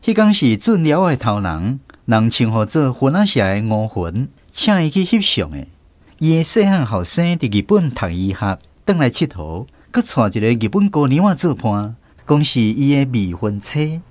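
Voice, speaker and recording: A man's voice: medium pitch, sing-song, moderate pace, a little mellow, dry recording, lightly acted. A man with a Taiwanese accent playing a grown man who narrates fond memories of his country childhood in a radio drama. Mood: reflective.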